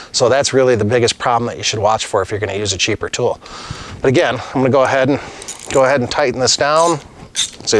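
A man's voice: medium pitch, a bit bright. A middle-aged master plumber giving a casual, practical tutorial on a lapel mic while he works with tools at a desk. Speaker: master plumber teaching on his channel. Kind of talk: hands-on tutorial demonstration. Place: indoor studio set at a desk.

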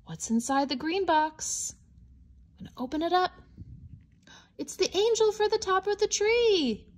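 A woman reading a picture book aloud to young children, explaining simply like a teacher, with expressive stretched words. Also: on the high side. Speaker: storybook read-aloud presenter.

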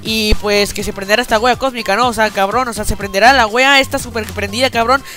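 A man's voice: high voice, steady tone, fast talker, sharp tone. A teenage boy, talking over his gameplay in casual, slangy chat, saying he feels a bit unwell and peppering every sentence with "chicos". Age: teens. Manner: slangy, repeats "chicos" constantly. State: feeling unwell, a bit weak. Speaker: gaming YouTuber. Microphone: headset.

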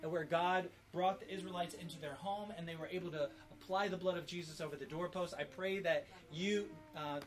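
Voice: medium pitch, steady tone, brisk, few pauses. A parent leading a family devotional and prayer, giving short instructions and prompts. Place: at home with family. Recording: noisy home video recording.

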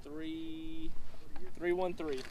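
A man's voice: on the high side, level tone, very slow, darkish tone. A man fishing with a friend from a small boat on a lake, chatting in a laid-back, joking way. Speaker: fishing partner. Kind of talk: casual chat while fishing.

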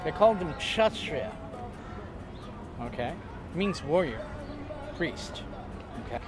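A man's voice: on the high side, very animated, slow pace, over music. An older man in casual conversation outdoors on a waterfront pier, talking in a chatty, theatrical, joking way. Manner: chatty, theatrical, jokey with bursts of loud laughter. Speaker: interviewee. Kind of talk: casual storytelling. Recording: outdoor street noise behind.

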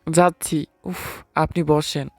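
A man's voice: a little high, flat delivery, slow, no echo. Man playing nervous newlywed husband, sheepish, hesitant, story narration, dry studio sound, music behind. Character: timid, nervous newlywed husband, late twenties. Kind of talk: first-person story narration with acted dialogue.

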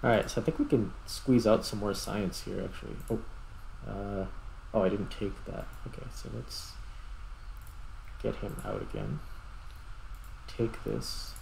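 A man with a fairly low voice hosts a gaming video in a casual style. He thinks aloud without hurry as he plays. There is clear steady noise in the recording. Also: a little slow, long pauses, rough recording. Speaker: host, gamer. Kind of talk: casual gameplay commentary.

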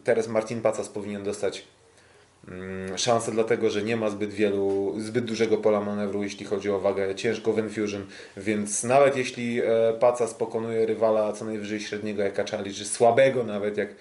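A man hosting a casual sports podcast, talking in a hesitant, halting way. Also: lowish voice, little pitch movement, darkish tone. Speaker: podcast host. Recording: roomy, echoing sound.

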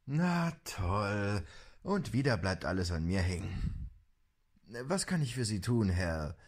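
An actor playing a reluctant, sarcastic police officer with a husky voice in a radio play. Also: male voice, on the low side, big pitch swings, slow pace, exaggerated voice. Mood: reluctant, grumbling.

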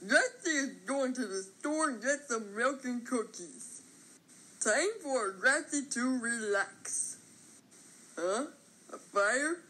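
A woman acts out a little childlike cartoon blade of grass with a bright, very lively voice. It is cutesy and innocent, squeaky with a husky edge, and takes its time over the words. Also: slow pace, heavily acted, rough recording. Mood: relaxed. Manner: cutesy, refers to self in third person. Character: small childlike grass character, naive, cutesy, third-person talker. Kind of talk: cartoon character voice lines.

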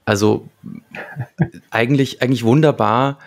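A man's voice: medium pitch, unhurried. A man in his thirties, a podcast co-host talking analytically and casually, with hesitant, reflective phrasing into a close microphone. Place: small book-lined home room, indoors, remote video call. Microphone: large desk microphone close up, with headphones.

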